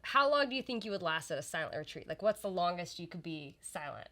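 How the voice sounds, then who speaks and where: A woman's voice: fairly low pitch, big pitch swings, quick pace, sharp tone. A young woman hosting a podcast, chatting casually in a rambling, easygoing way and laughing easily. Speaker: podcast host and comedian. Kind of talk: casual chat.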